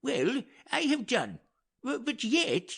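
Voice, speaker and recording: An aged, optimistic counsellor of a Shakespearean court. The voice is raised and husky, swinging widely in pitch at a slow pace. He speaks in earnest, wordy periods. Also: wildly swooping pitch, a bit bright, full character voice. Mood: earnest, consoling. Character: talkative, optimistic old male royal counsellor. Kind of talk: dramatic Shakespeare audiobook reading.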